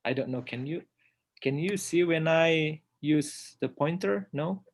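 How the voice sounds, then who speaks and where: Indonesian-accented man, halting with fillers. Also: a little high. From Indonesia, North Sumatra. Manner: hesitant, many "uh" fillers, soft laughs. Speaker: pastor giving a presentation. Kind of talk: informal lecture with slides.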